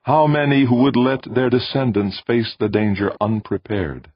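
A man voicing a calm, ancient alien being who speaks in a flat, intoning way. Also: medium pitch, normal speed, breathy edge, no room sound, voice pushed up, natural voice. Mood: solemn. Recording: dull dry recording.

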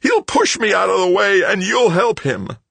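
Man acting volatile, bitter son, pitch swinging high. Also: very animated, normal speed, breathy edge, no echo, full character voice. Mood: enraged, anguished. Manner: snarling, ranting, screaming. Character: volatile, bitter adult son, enraged and anguished. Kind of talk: audiobook character acting.

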